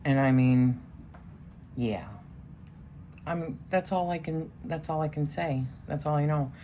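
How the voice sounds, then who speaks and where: Very low-voiced chatty woman reading tarot. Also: measured pace, warm tone, a little hiss or hum. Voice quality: strong voice. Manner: chatty, rambling with many fillers. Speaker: tarot reader. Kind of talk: casual spoken tarot session.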